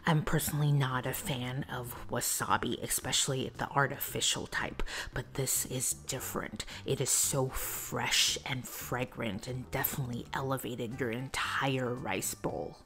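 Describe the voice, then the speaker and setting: A woman narrating a food review in a soft, breathy whisper, calm and descriptive. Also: very deep voice, measured pace, few pauses. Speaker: food vlogger.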